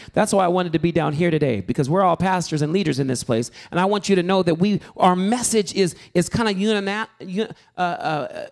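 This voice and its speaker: A man teaching a sermon-preparation workshop to a live group in a church hall, animated and joking, calling out to the room. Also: high voice, gravelly. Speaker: preaching instructor.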